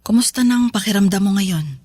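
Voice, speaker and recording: A woman's voice: mid-range voice, fairly quick, dark tone, husky, no echo, studio quality, close to an everyday voice. A woman playing a worried, caring middle-aged mother in a radio drama, speaking with a Filipino accent. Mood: concerned. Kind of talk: Tagalog radio drama acting.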